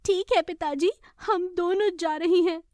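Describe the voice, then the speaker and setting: A woman voicing a polite, gentle young fairy princess in a children's cartoon. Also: very high voice, steady tone, a little slow, no echo, studio quality, voice pushed up. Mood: obedient. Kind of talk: children's cartoon story acting.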